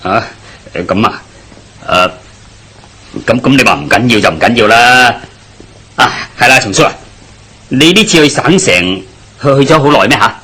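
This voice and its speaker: Chatty, bright-voiced husky village fellow. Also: male voice, medium pitch, animated, moderate pace, pauses often. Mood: inquisitive. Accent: Hong Kong Cantonese. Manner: chatty, inquisitive, colloquial. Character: chatty, good-natured Cantonese village man, nosy and joking. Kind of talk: Cantonese radio drama acting.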